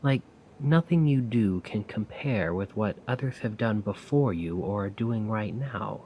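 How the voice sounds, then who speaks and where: A man playing a weary, self-doubting failed poet in a soft-spoken, rambling ASMR roleplay monologue. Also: lowish voice, animated, a little hiss or hum, poor audio. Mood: despairing, self-doubting. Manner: slow, brooding, rambling. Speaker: roleplay audio performer.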